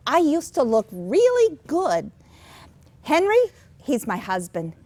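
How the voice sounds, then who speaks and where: A woman's voice: high voice, huge pitch swings, slow, a little mellow, heavily acted. A woman in a staged play reading, playing a simple, earnest, plain-spoken housewife and mother. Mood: wistful. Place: children's theatre stage, filmed staged reading.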